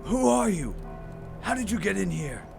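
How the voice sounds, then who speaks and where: A crotchety, fearful old miser in audio theater. The voice is high for a man and heavily creaky, querulous and sputtering, with music underneath. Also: very animated, moderate pace, heavily acted. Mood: wary, querulous.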